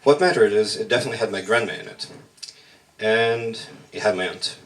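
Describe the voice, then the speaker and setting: Low-voiced man reciting spoken-word poetry. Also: distant mic. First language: Russian. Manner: measured, halting delivery in short phrases. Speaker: slam poetry workshop participant.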